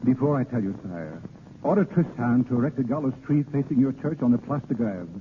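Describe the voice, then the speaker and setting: A man's voice: medium pitch, lively intonation, brisk, phone-quality sound, lightly acted. Male actor as ardent gallant poet, swooping theatrical delivery, courtly, proud, 1930s radio play, noisy. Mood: resolute. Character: gallant, ardent poet turned grand marshal, proud and romantic. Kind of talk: 1930s radio drama acting.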